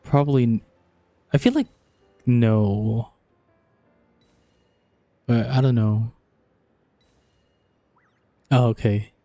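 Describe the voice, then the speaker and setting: A man's voice: medium pitch, fairly even intonation, extremely slow, long pauses, mellow, no echo, faint music behind. A man streaming a video game and chatting casually as he plays. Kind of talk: casual chat while playing a game.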